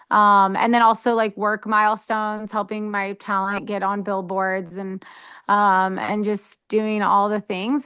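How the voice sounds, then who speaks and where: A young woman from the United States talks casually in an interview. Her voice is smooth and clear, and her chat is eager and full of little fillers. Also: mid-range voice, pushed and bright. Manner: enthusiastic, chatty with many fillers. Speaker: interview guest.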